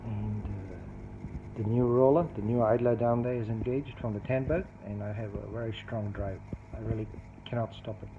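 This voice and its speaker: A man narrating a casual hands-on repair demonstration as a hobbyist, explaining things in a matter-of-fact, unhurried way. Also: fairly low pitch, steady tone. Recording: dull lo-fi phone-video sound, faint noise.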